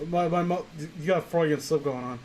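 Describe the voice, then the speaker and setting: A young man hosts a comic read-aloud in a somewhat high voice. He talks casually and breaks off for sarcastic, sweary commentary. There is faint noise behind him. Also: brisk, a little mellow. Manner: sarcastic, sweary, exasperated asides. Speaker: host reading a story aloud. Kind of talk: casual comic commentary while reading fan fiction.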